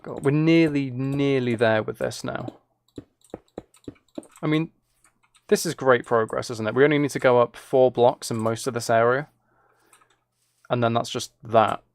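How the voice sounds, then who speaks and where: A man's voice: mid-range voice, steady tone, unhurried, vocal fry, pauses often. A man chats casually with viewers as he plays a game, rambling and musing aloud. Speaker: live streamer. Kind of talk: casual chat with viewers while gaming.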